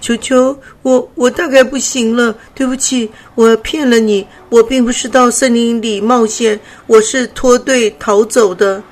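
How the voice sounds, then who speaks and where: Woman doing a frail little grey butterfly, high childlike voice, breathless, storybook. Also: fairly even intonation, measured pace, few pauses. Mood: weak, pleading. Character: small sickly female-voiced grey butterfly. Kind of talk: storybook character voice.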